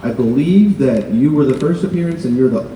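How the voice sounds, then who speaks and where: A man's voice: medium pitch, animated, fairly quick, mellow, slight background noise. A man fan politely asking a panelist a question at a convention. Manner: polite, earnest. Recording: echoey hall from far off.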